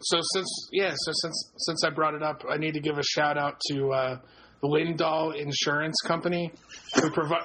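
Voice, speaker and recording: A man's voice: a little high, steady tone, moderate pace, sharp tone, rough recording. A man chatting on a casual podcast, laughing through his words and stumbling over restarts.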